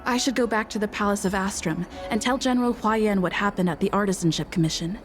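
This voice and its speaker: An actress playing a blunt, proud young swordswoman in a game cutscene, speaking in brisk, terse lines. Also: mid-range voice, soft background music, natural voice. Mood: subdued. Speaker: game character. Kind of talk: video game cutscene voice acting.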